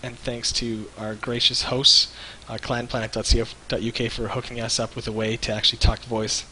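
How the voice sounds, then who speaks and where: A man's voice: mid-range voice, level tone, normal speed, sharp tone, slight background noise, low-quality recording. A man co-hosting a live stream in casual, jokey chat, rambling and searching for words. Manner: rambling, loose, jokey, hesitant.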